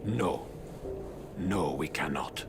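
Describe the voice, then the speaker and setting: A man voicing a grave, elderly mutant rat master in a video game, speaking in a measured, formal, fatherly way. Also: a bass voice, expressive, creaky, noisy background, exaggerated voice.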